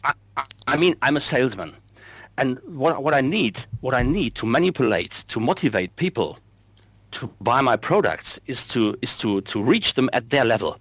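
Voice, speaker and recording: A man's voice: medium pitch, expressive, normal speed, a bit bright. A man with a German accent whose first language is German, talking football earnestly as a podcast guest and often repeating words while he looks for the English. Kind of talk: casual football chat.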